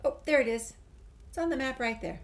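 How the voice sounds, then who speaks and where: A woman's voice: high voice, a little fast. A woman hosting a gameplay video, thinking aloud in casual, rambling commentary. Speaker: gaming video host.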